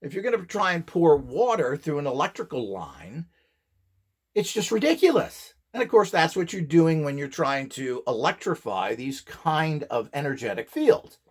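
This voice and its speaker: A man's voice: fairly high pitch, sing-song, moderate pace. A man giving an informal, opinionated lecture in a blunt, scornful and emphatic manner, as a presenter selling devices. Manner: rhetorical questions, mimicked quotes. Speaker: presenter and seller of radionics devices.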